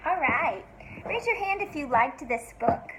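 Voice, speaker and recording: A woman reading a picture book to young children like a teacher, expressive and sing-song, with encouraging questions. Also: sits high, unhurried, a little hiss or hum, low-quality recording. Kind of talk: read-aloud for young children, asking questions.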